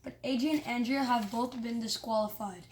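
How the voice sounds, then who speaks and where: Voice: mid-range voice, steady tone, normal speed, darkish tone, slight background noise, natural voice, rough recording. A reader as an announcing male school principal. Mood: formal, regretful.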